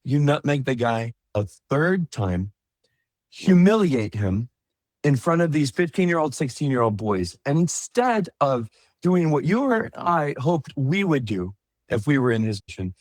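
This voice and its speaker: A man co-hosting a podcast, retelling a story in lively casual chat and acting out the people in it. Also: a little slow, no echo. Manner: animated, acts out quoted voices with big pitch jumps. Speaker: podcast co-host.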